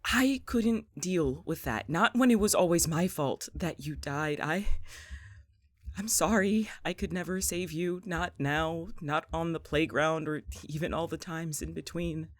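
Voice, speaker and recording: Low-voiced, defiant, sweary young friend. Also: female voice, unhurried, no room sound. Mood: guilty, pleading. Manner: blunt, sweary, emotionally raw. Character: foul-mouthed, defiant, guilt-ridden young friend named Vincent. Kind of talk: naturalistic audio drama acting.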